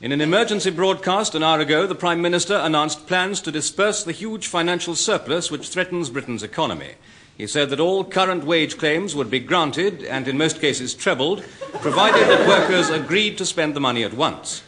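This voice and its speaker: An actor playing a formal English radio newsreader delivering scripted bulletins in a comedy. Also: male voice, on the high side, expressive, normal speed, a bit bright, slight background noise. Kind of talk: scripted news reading.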